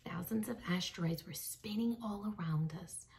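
A young woman reading a children's book aloud expressively, with dramatic pauses, addressing young listeners. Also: lowish voice, gravelly, darkish tone. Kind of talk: expressive read-aloud storytelling. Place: small home room with bookshelves. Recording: slightly distant mic, faint background noise.

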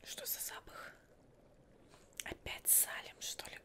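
A woman's voice: takes it slowly, natural voice. A young woman playing a fussy, anxious but polite witch seamstress in a whispered ASMR roleplay, muttering and repeating herself close to the microphone. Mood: flustered, fretful. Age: young adult. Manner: muttering, repeating words, fretful. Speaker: ASMR performer. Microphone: close sensitive microphone.

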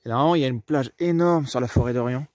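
A man's voice: medium pitch, quick pace, darkish tone, no echo. A young man from France narrating a casual travel vlog to a camera held at arm's length, rambling with lots of fillers, outdoors and inside a camper van. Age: young adult. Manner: chatty and rambling, with many fillers. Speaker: travel vlogger. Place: outdoors in a foggy forest and parking lot, also inside a camper van. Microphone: handheld camera at arm's length.

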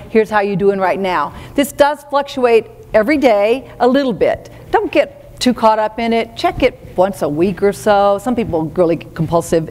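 A woman with a fairly high voice gives a seminar keynote in a brisk, practical, no-nonsense manner. Her words ring out with the long reverb of a big event hall. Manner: brisk, practical, direct advice. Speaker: keynote speaker. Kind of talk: live seminar talk.

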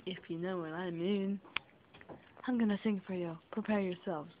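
A young girl chatting playfully in a bedroom, filming on a handheld camera, with silly drawn-out words. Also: lowish voice, steady tone. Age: child. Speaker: vlogger. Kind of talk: goofy sleepover vlog chat. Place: small bedroom at home. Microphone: handheld camera mic. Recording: muffled lo-fi camera audio with faint hiss.

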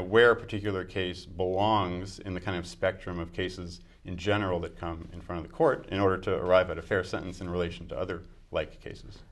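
A man in his forties, answering interview questions fluently and argumentatively with fillers. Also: sits low. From United States. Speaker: judicial candidate. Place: small studio with dark curtains. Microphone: lapel mic.

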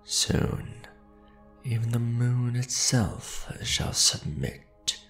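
An ancient vampire lord played by a man speaks in a heavily creaky voice that swings widely in pitch. He is formal and grandiose, a devoted yet ruthless aristocrat in an ASMR roleplay. Faint music sits behind his gravelly words. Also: expressive, heavily acted. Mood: ominous, triumphant. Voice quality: deep, gravelly. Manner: formal, grandiose, deliberate phrasing with pauses.

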